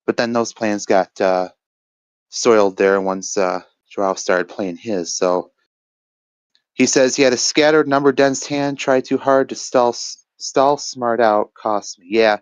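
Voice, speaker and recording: A man giving casual, reflective analysis as a match co-commentator, often pausing mid-thought over a voice chat. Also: mid-range voice, clear, resonant tone, no echo. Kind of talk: casual esports-style card game commentary.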